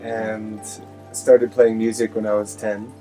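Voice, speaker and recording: A young man from California, answering interview questions in a relaxed, laid-back way. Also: lowish voice, slow, poor audio. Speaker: guest musician. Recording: guitar music playing behind.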